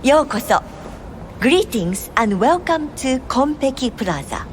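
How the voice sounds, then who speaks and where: A woman's voice: high voice, big pitch swings, a little slow. A woman playing a formal, polite luxury hotel receptionist in a video game, with courteous customer-service phrasing. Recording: clear ambient noise behind.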